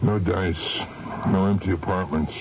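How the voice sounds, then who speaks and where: An actor playing a cool, sardonic blackmailer and killer in a 1970s radio drama, with streetwise, falsely affable menace. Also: male voice, sits low, fairly even intonation, a little slow. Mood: wry, scheming. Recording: noisy, dull vintage radio broadcast.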